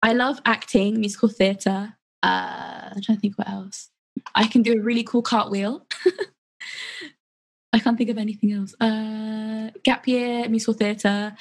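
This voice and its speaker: A young woman from England introduces herself over a video call. She is excited and giggly, with a strong gravelly fry in her voice. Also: a little high, measured pace. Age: young adult. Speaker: podcast guest. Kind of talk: casual self-introduction.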